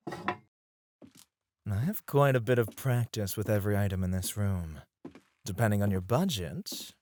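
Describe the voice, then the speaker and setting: Man playing a low-voiced, flirtatious suave escort. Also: no room sound, studio quality. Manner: teasing, courteous, drawn-out phrasing with soft chuckles. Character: suave, flirtatious male club escort, smooth-mannered and teasing. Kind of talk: ASMR roleplay, addressed to one listener.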